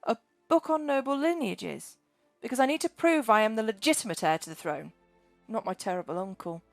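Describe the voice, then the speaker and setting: A woman's voice: fairly high pitch, animated, brisk, vocal fry, no echo, faint music behind. A woman voicing a scrawny, frightened young boy who talks earnestly and stammers.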